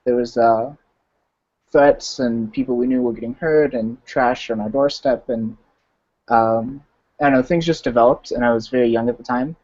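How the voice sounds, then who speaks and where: A man's voice: mid-range voice, fairly even intonation, moderate pace, a little mellow. Young American man, muffled call audio. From Arizona, United States. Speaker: discussion participant, college student. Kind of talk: casual personal sharing in online discussion. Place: online video call. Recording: dull, lo-fi video-call audio.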